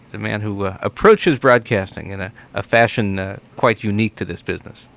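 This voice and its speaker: A man's voice: on the low side, a little fast, creaky, a bit bright. Polite male radio host. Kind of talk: conversational call-in hosting.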